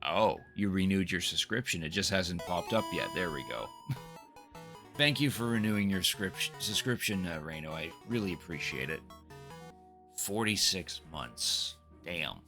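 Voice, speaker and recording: Male game streamer, deep and bright-toned, reads on-screen text and chats to viewers, faint music behind. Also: lively intonation, unhurried. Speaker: game livestream host. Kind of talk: reading game dialogue aloud and chatting to stream viewers. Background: video game music.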